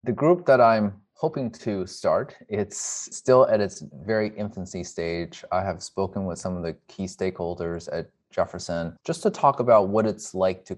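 A man speaking reflectively in an interview as a physician, earnest and self-effacing, with measured, formal wording. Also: deep voice, a little mellow. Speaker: emergency medicine physician, interviewee. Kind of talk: reflective personal interview talk.

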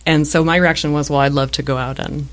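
A woman in her thirties with a low voice, answering interview questions in a relaxed, thoughtful way with frequent fillers and restarts. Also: quick pace. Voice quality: low-pitched voice. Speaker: museum voice-over artist and guest.

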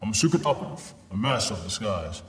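A man's voice: lowish voice, animated, a little fast, slight background noise, lightly acted. A man playing a smug shapeshifting spy in a theatrical radio drama. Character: smug shapeshifting male spy, master of disguise.

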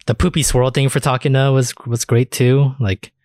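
A man's voice: mid-range voice, level tone, moderate pace, darkish tone, dry recording, clean studio sound. A man podcast host in casual discussion, rambling enthusiastically and swearing freely. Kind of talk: casual anime discussion chat.

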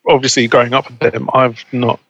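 A young man as an interview guest, chatting casually and relaxed, laughing easily. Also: medium pitch, a little fast, dull audio. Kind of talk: casual conversation.

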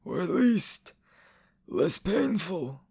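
A cruel, smug inhuman official for a fantasy audio drama. The voice is pitched high and creaks, swooping through formal, condescending threats with measured menace. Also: male voice, sing-song, a little mellow, full character voice. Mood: menacing.

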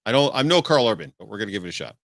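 A man with a bright, forceful voice on the low side chats casually as a podcast co-host, rambling and restarting his sentences. His voice is recorded close and dry, with no room sound behind it. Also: very animated, racing, vocal fry, no echo. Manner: rambling, with frequent restarts and laughs. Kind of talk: casual chat.

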